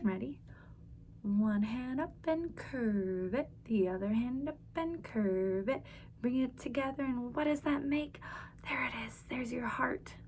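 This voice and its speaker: A woman with a high voice reads to small children at an unhurried, slow pace. Her delivery is sing-song and encouraging, exaggerated for young listeners, with noticeable background noise on the recording. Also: darkish tone. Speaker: library children's story time host. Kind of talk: children's story time, reading a picture book aloud and coaxing young listeners.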